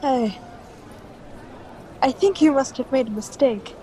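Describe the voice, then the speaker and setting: Woman playing jumpy polite lady dodging questions in old radio drama, high voice, hesitant, bit of noise. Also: slow pace, dark tone, a faint crowd, poor audio. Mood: shaken, nervous. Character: nervous, evasive well-dressed woman hiding dread.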